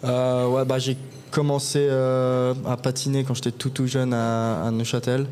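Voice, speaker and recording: A young man answers questions at a live podcast taping in a bar, his voice sitting at an average pitch. He speaks in a flat, level tone and stops often to gather his thoughts. A faint hum of room noise sits behind him. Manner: even, unhurried answers with frequent pauses to think. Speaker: guest hockey player. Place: bar, live audience event.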